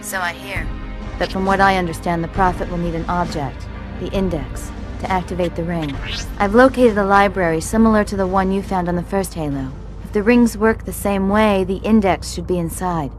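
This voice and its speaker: A woman playing a resolute military commander in a game cutscene, crisp and authoritative. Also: on the low side, normal speed, music in the background, lightly acted. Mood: determined. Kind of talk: video game cutscene acting.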